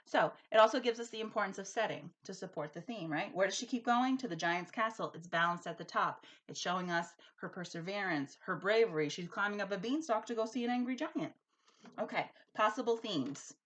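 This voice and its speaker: A woman's voice: mid-range voice, brisk. A woman teacher speaking expressively and emphatically, as in a storybook read-aloud for a school lesson. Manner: animated, emphatic.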